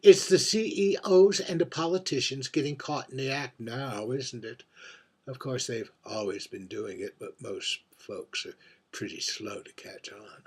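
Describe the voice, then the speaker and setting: Man playing a husky, world-weary old drag queen. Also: mid-range voice, measured pace. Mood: wry, arch. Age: older. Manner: camp, musing, tag questions and endearments. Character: aging world-weary drag queen, wry and theatrical, drinking at bar. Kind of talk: theatrical monologue.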